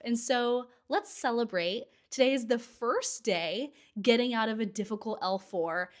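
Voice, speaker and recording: A woman's voice: medium pitch, fairly bright tone. A woman in her thirties from Southern California hosting a casual solo podcast, chatty and enthusiastic, her voice still recovering from a cold. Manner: chatty, enthusiastic, with short laughs. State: getting over a cold. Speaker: podcast host.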